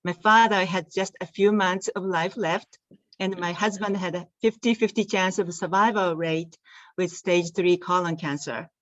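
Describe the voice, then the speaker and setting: A woman's voice: fairly low pitch, steady tone, moderate pace, no room sound. Japanese-accented woman guest, earnest storyteller, dry lo-fi call audio. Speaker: guest, tea company founder. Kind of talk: storytelling interview answer.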